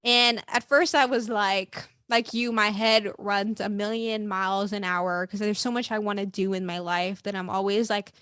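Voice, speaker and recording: A woman's voice: mid-range voice, fairly even intonation, moderate pace, hardly any pauses, fairly bright tone, smooth, dry recording, clean studio sound. A young adult woman talking casually as a podcast guest, emphatic and encouraging, peppering her sentences with "like". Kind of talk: casual conversational interview.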